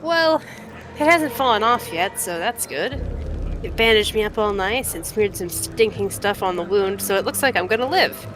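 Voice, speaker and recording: A woman's voice: mid-range voice, expressive, normal speed, lightly acted. Woman voicing a cheeky, slangy scout over music. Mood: wry. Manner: folksy, slangy, sarcastic. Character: cheeky, plain-spoken female city scout, tough and wry. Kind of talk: reading video game dialogue aloud. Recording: loud music under the voice.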